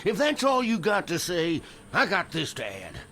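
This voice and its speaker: A man's voice: high voice, huge pitch swings, moderate pace, pushed and bright, exaggerated voice. An actor playing a bitter, vengeful older frontier father, accusing and emphatic, in a radio drama. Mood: vengeful anger.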